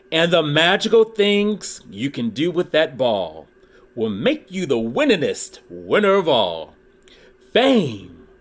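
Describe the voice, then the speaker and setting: A man reading a rhyming children's book aloud in an expressive, deliberate way, pausing dramatically for emphasis. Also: a little high. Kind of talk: expressive storybook read-aloud in rhyme.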